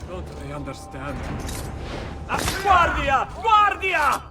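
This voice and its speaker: A haughty scheming merchant lord. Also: male voice, very high voice, wildly swooping pitch, slow pace, music in the background, exaggerated voice. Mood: frantic. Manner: haughty, grows frantic and shouting. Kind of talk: video game cutscene acting. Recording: game audio with score underneath.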